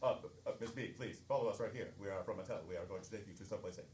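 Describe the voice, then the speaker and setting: An improviser voicing a solemn, halting government-style agent in a stage parody. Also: low-pitched, darkish tone, voice pushed down, lightly acted. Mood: solemn, official. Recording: echoey stage, distant mic, faint noise.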